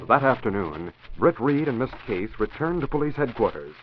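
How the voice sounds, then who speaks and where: A man's voice: mid-range voice, very animated, moderate pace, lightly acted. A man narrating a radio serial in a scripted 1940s style. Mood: storytelling. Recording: dull vintage radio recording. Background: music.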